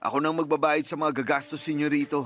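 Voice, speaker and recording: Guy acting cold absent dad, reproachful, highish voice, radio drama with music under. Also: little pitch movement, fast, fairly bright tone, close to an everyday voice. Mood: firm, cold. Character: cold, reproachful, well-off estranged father.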